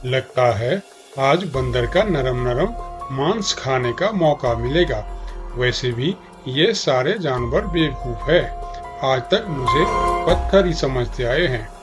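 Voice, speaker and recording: A man's voice: medium pitch, lively intonation, takes it slowly, over music. A man voicing a sly, hungry crocodile villain in a cartoon story, gloating and scheming. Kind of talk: Hindi cartoon story dialogue.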